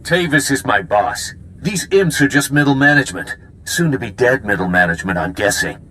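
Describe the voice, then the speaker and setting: Cocky, taunting game criminal. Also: male voice, medium pitch, animated, normal speed, bright, forceful tone, a little hiss or hum. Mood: taunting, smug. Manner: taunting, smug, irreverent. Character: cocky, treacherous male criminal gang enforcer. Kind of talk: video game voice acting.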